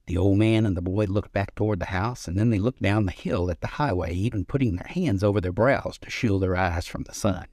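Casting: male author-narrator for literary fiction, lower register, very little room sound. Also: a little fast, few pauses, darkish tone, dry recording, studio quality. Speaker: author reading own short story.

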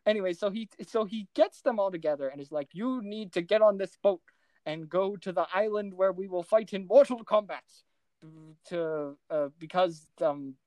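Rambling, excitable woman chatting casually. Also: medium pitch, normal speed, no echo, poor audio. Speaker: podcast co-host. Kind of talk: casual movie recap chat.

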